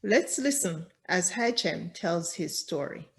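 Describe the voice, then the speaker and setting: A woman's voice: fairly low pitch, expressive. A woman hosting a podcast, reading a scripted intro and then welcoming and questioning her guest. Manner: slow, deliberate reading, enthusiastic welcomes. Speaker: podcast host.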